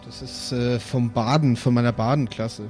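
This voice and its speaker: A man's voice: medium pitch, fairly even intonation, moderate pace, a little mellow. Male tabletop player, casual and hesitant, thinking aloud, music underneath. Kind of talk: casual improvised game chat. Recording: music bed behind the voice.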